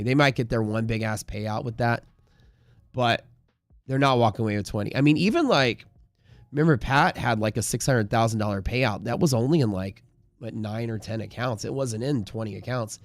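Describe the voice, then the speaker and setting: A man's voice: on the low side, normal speed, no echo, clean studio sound. A man hosting a livestream in casual, slangy, emphatic conversation, answering viewers and giving his opinions. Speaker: livestream host and trading community leader.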